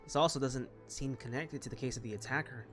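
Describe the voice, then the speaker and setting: A man plays an earnest companion in a fantasy game. His voice sits at a medium pitch, cautious and a little hesitant as he speculates, with faint music underneath. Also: fast talker, fairly bright tone, natural voice. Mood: pondering. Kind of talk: video game character dialogue.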